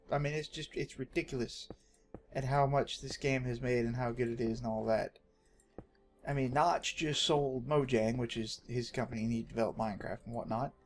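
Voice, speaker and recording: A man from Texas with a Texan accent gives casual, rambling gameplay commentary as a video host, jokey and self-deprecating, often talking to himself. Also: mid-range voice. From Texas, United States. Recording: home recording with faint background noise.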